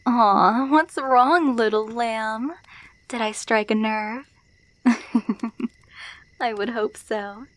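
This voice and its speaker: A woman's voice: fairly high pitch, steady tone, drawn-out words, long pauses, dry recording. A woman playing a possessive alpha werewolf in an ASMR roleplay, taunting and condescending as she coos pet names. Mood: mocking. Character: dominant, possessive female alpha werewolf, teasing and menacing.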